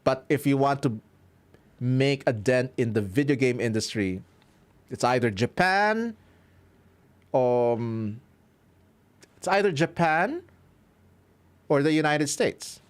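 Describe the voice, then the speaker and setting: A man's voice: medium pitch, lively intonation, slow pace, pauses often, smooth. A man from the Philippines with a Filipino accent, chatting casually to his livestream audience as he wraps up, upbeat and chuckling often. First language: Filipino language. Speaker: streamer and violinist. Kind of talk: casual livestream sign-off to chat.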